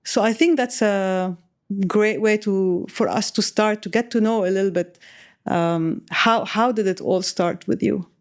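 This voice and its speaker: A woman from Lebanon hosting an interview in a chatty way, animated and quick to laugh while telling stories. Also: medium pitch. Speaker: podcast host. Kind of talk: conversational interview.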